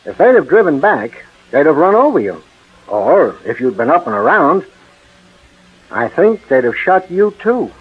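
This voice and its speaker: An actor playing a mild, obliging police official in a 1940s radio drama, polite and hesitant in manner. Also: male voice, a little high, big pitch swings, a little slow, slightly rough, heavily acted. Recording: tinny, narrow 1940s radio recording.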